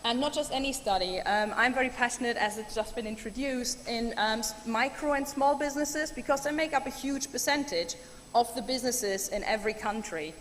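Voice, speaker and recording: A woman's voice: fairly high pitch, brisk, a bit bright. A woman from Germany giving a chatty, enthusiastic keynote speech with many ums. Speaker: keynote speaker. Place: large conference hall. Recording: hall reverb, faint room noise.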